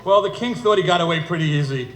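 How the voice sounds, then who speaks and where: Middle-aged male, fairly high voice, dry humorous wedding speaker, recorded in a reverberant room. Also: quick pace. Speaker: father of the bride giving a speech. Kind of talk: humorous wedding speech told as a fairytale. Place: indoor wedding reception venue. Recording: echoey room, noisy event ambience.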